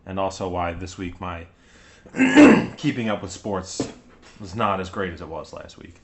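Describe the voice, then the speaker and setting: Laughing, chatty lower-voiced man. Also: sing-song, measured pace, heavy background noise. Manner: chatty storyteller, laughs often, swears casually. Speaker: podcast co-host. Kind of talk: casual chat.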